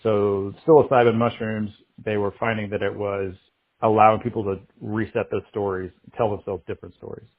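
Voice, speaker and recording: Thirties guy, low husky voice, halting reflective interview talk, tinny phone-ish sound. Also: level tone. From United States. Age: 30s. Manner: halting, reflective, many restarts and fillers. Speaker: interview guest, artist. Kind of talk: candid interview.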